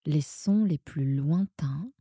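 A woman guiding a sleep meditation in a soothing, hushed, breathy manner that often nears a whisper. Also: low voice, slow pace, dry recording, clean studio sound. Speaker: yoga nidra guide and podcast host.

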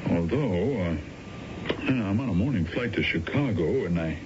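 Earnest, hesitant low-voiced friend. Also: male voice, lively intonation, normal speed. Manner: hesitant, stammering politeness. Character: earnest, moralizing middle-aged old family friend. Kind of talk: old-time radio drama acting. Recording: muffled, noisy 1970s radio recording.

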